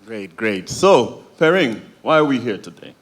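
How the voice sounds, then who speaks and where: A man's voice: medium pitch, big pitch swings, unhurried. A man from Sierra Leone emceeing a church conference, upbeat and addressing the audience directly.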